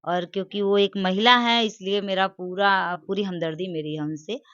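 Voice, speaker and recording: A woman's voice: mid-range voice, steady tone, normal speed, fairly bright tone, dry recording. A woman giving emphatic opinions in a conversational interview, repeating phrases for stress. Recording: dry, dull lo-fi sound.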